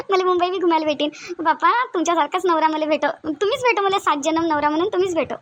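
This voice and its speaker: A woman's voice: extremely high pitch, quick pace. A woman voicing a shy, naive young newlywed wife in a cartoon, fretful and exclaiming, with a Varhadi Marathi accent from Vidarbha. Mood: delighted. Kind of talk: cartoon dialogue acting in colloquial dialect.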